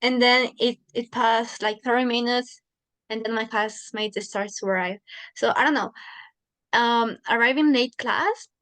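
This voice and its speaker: Slow, giggly teenage woman. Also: on the high side, fairly bright tone, dry recording. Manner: chatty, giggly, teasing. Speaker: university student, interviewee. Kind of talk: casual conversation practice.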